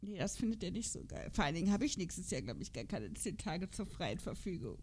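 A woman's voice: lowish voice, very animated, a little fast, darkish tone, breathy edge. A woman co-hosting a podcast in a casual chat, speaking in an informal, colloquial and playfully teasing way. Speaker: podcast co-host.

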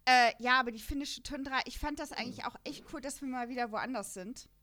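Chatty, giggly woman with a higher voice. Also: fairly quick, sharp tone. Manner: chatty, laughs often, many fillers. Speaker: podcast co-host. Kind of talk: casual fan podcast chat.

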